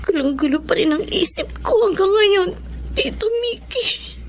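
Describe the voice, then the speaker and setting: A woman's voice: extremely high pitch, animated, moderate pace, slight background noise. A woman playing a tearful, troubled young woman. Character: confused young woman Pearl, grieving daughter. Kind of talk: Tagalog radio drama acting.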